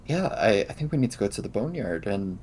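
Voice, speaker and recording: Man, slightly low voice, casual game talk, halting as he reasons aloud, faint rumble of noise. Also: very animated, a little mellow. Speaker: tabletop role-playing game player. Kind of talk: casual in-character game discussion.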